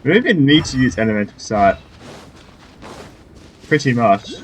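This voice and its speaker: A man's voice: medium pitch, big pitch swings, moderate pace, slight background noise. A man giving casual gameplay commentary while playing a story quest, sometimes reading dialogue choices aloud. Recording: faint game music behind.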